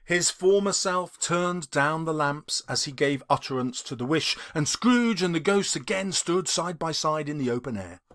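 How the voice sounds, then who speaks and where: A man narrating a classic novel as an audiobook in a theatrical, expressive storytelling style. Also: on the high side, moderate pace, few pauses. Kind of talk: dramatic scripted storytelling.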